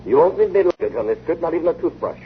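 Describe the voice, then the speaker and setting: An actor playing a confident, eager inventor in a 1950 radio drama, speaking with persuasive, emphatic assurance. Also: male voice, sits high, expressive, rapid-fire, vocal fry. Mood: brisk, assured. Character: confident, eager male inventor of a time machine. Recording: muffled, hissy old radio broadcast.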